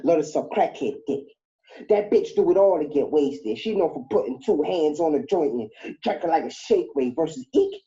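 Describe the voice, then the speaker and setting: A man's voice: a little high, very animated, normal speed, mellow, lo-fi sound. A man delivering taunting, rhythmic battle rap verses. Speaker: battle rapper.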